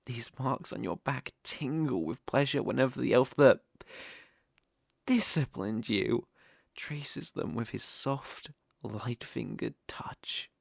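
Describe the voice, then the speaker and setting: British man playing a slow-spoken, possessive dark elf master. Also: medium pitch, creaky, no room sound, clean studio sound. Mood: teasing, seductive. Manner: slow, taunting, condescending, lingering on words. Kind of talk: intimate ASMR roleplay performance.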